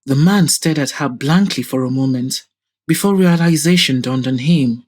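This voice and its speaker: A woman's voice: very deep voice, moderate pace, darkish tone, breathy edge. A woman reading scripted story narration as the narrator of an audio drama.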